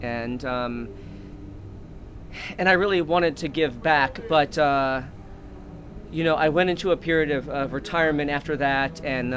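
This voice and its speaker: A man rambles through a made-up life story with mock sincerity, stopping and restarting his thoughts. His voice sits at a middle pitch. A faint hum of background noise runs under it. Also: a bit bright, lo-fi sound. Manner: rambling, self-interrupting, mock-earnest. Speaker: comedic storyteller. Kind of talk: improvised comic monologue.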